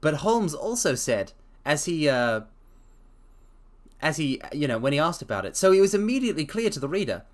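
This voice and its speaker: A man's voice: a little high, very animated, moderate pace. Enthusiastic male streamer reading aloud. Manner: enthusiastic, chatty asides to viewers. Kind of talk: dramatic reading with commentary.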